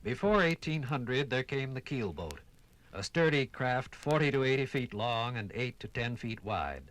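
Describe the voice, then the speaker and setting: A man narrating a scripted 1950s radio documentary in a grand, dramatic manner with measured phrasing. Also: medium pitch, lively intonation, a bit bright.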